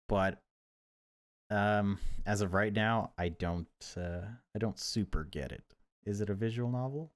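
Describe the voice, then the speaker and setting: A man hosting a gaming video, speaking over a horror visual novel he is playing. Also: lowish voice. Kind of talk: dramatic narration of game text, then casual commentary. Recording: music behind the voice. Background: game music.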